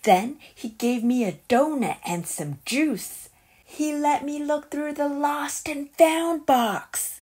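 A woman reading a children's picture book aloud as a storyteller, in an expressive and deliberate way. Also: high-pitched.

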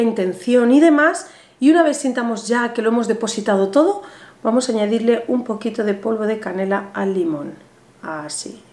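A woman in her thirties with a Spain Spanish accent, seated at a table, explaining a ritual step by step in a chatty, animated way. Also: a little high. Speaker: ritual instructor. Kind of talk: step-by-step ritual tutorial to camera. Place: small bright room indoors, seated at table. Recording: roomy echoey room sound.

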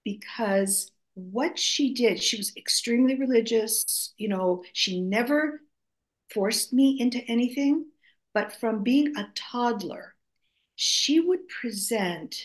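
A woman's voice: a little high, expressive, slow pace, low-quality recording. An older woman whose first language is Greek, speaking as an author guest over a video call, telling stories in a reflective way with many restarts. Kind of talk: conversational storytelling. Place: home room, video call.

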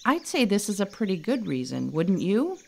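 A woman's voice: mid-range voice, big pitch swings, normal speed, gravelly. A woman voicing a clever, caring young male elephant in a storybook, earnest and reassuring. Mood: gently scolding.

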